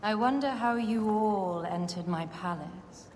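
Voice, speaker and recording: A woman's voice: medium pitch, a little slow, darkish tone, lightly acted. A woman as an imperious queen in a reverberant hall. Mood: scornful. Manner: regal, disdainful. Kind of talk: video game cutscene acting. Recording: long hall reverb, faint background noise.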